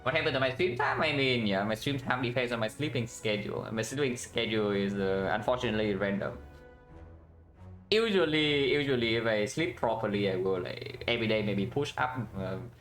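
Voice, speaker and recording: A man's voice: fairly low pitch, animated, brisk, fairly bright tone, soft background music. A man with a Vietnamese accent streaming a card game, thinking aloud and chatting with viewers, often ending with "yeah?". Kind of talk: casual live-stream commentary.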